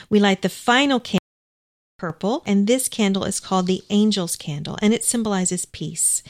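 Female radio co-host, medium register, measured and orderly explainer for a faith programme. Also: mid-range voice.

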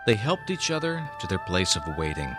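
A man narrating a scripted story in a solemn, expressive, dramatic way on a close microphone. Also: low-pitched, moderate pace, music in the background. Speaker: show host and story narrator.

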